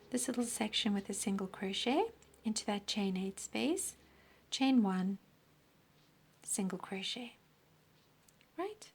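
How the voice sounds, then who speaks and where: Slow croaky woman teaching crochet. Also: a little high. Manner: drops to a breathy half-whisper, counts stitches aloud. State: losing her voice, croaky. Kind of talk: step-by-step craft tutorial.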